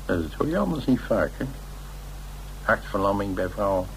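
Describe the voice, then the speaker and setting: An actor playing a gruff but caring male companion with a Dutch accent, speaking hesitantly and affectionately in a naturalistic radio drama. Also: on the low side, big pitch swings, normal speed, a little hiss or hum, poor audio. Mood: fussing, caring.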